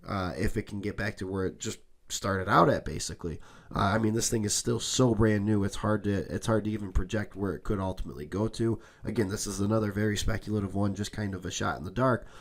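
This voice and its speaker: A young man hosts a casual crypto commentary, talking loosely and repeating himself, often checking in with "okay?". He is fighting a cold, so his somewhat low voice comes out a little raspy. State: has a cold, a bit raspy. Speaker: crypto channel host. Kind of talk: casual screen-share commentary.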